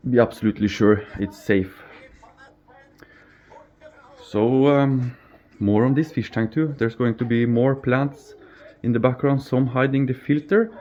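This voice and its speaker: A man's voice: mid-range voice, dark tone. A man from Norway, not a native English speaker, casually rambling through a tour of his apartment, stumbling over words and chuckling at himself. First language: Norwegian. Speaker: aquarium hobbyist vlogger. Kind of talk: casual home tour vlog. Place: apartment, indoors. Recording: slightly dull home recording with faint noise.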